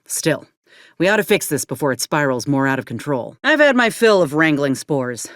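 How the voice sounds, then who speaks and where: A woman's voice: sits low, big pitch swings, normal speed, a bit bright, no room sound, studio quality. Folksy, wary ex-medic soldier woman. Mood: watchful, dry. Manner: folksy, drawling frontier speech with ain't and folk. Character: wary, plain-spoken female ex-combat medic turned mercenary soldier. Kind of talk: video game character dialogue.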